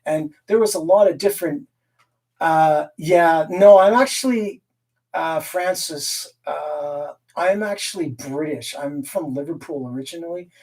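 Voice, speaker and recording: A man's voice: fairly high pitch, a little slow, darkish tone, distant mic. A man raised in Canada hosting a casual live stream, rambling, laughing often and reading the chat aloud. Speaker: livestream host and guitar collector.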